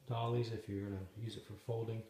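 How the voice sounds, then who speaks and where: A man casually narrating, step by step, the assembly of a trailer he is building, talking in a plain, matter-of-fact way with some halting pauses, filmed on a handheld phone in a garage. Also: on the low side, flat delivery, mellow, a little hiss or hum. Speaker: DIY video maker. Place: garage or shop and outdoors by a trailer. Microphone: handheld phone camera.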